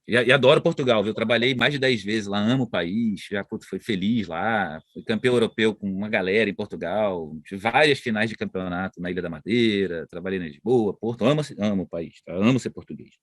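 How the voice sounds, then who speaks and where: A man's voice: lowish voice, brisk, hardly any pauses. Chatty Brazilian man on a video call. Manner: chatty, slangy, full of tag questions. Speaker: guest psychologist and researcher. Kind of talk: casual video-call interview. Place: outdoors at home, moving inside.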